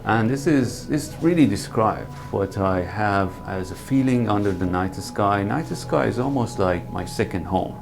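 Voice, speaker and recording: Earnest man, low voice. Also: unhurried, vocal fry, hardly any pauses. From Iran. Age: 40s. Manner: calm, earnest and reflective, with small hesitations. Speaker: night sky photographer. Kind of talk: documentary interview monologue.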